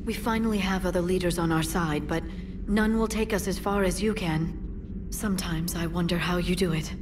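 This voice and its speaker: An actress playing a thoughtful female scientist who speaks softly and earnestly. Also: fairly low pitch, fairly even intonation, moderate pace, background noise, close to an everyday voice. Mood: reflective. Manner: soft, reflective, earnest.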